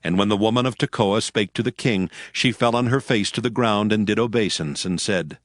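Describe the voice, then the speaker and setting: A man's voice: on the low side, brisk, dry recording, studio quality. A man giving a solemn scripted narration of scripture in archaic English. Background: faint music.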